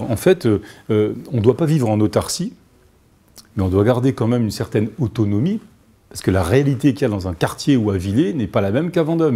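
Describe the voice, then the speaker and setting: A man's voice: mid-range voice, warm tone, husky. A man in his fifties giving a spontaneous interview answer as a mayor, emphatic and repeating words as he searches. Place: office or meeting room indoors.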